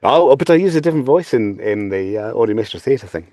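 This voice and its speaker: Animated mature British man, close dry sound. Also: mid-range voice, fast, dry recording. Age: middle-aged or older. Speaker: voice actor and podcast guest. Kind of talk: casual panel chat.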